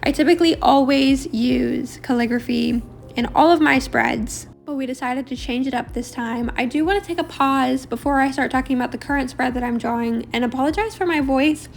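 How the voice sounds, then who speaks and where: Raspy, rambling young woman vlogger. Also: high-pitched, a little fast, faint music behind. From Australia. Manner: rambling, chatty, many fillers. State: raspy, harsh throat. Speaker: bullet journal vlogger. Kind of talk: casual voice-over while drawing.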